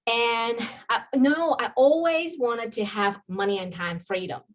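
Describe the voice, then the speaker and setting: A woman's voice: a little high, a little slow. A woman from China with a Chinese accent, a native Chinese speaker, telling her own story as an interview guest. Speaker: guest, mindset coach.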